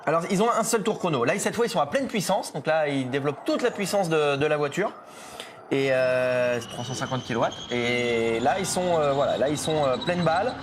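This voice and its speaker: A man's voice: a little high, lively intonation, a little fast, background noise. A man giving live motorsport commentary in a chatty way, with many fillers and restarts. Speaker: motorsport commentator tied to a team.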